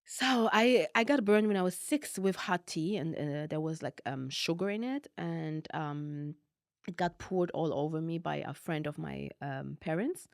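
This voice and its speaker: A low-voiced woman with a mixed German and Arabic-tinged accent tells her own story. Her voice has a gravelly fry and sits close to a dry mic. She fills the telling with likes and ums and acts out old exchanges with sudden lift. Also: unhurried, no echo. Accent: mixed German and Arabic-tinged non-native English. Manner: animated, acts out past dialogue, frequent likes and ums. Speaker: podcast guest. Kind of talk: personal storytelling.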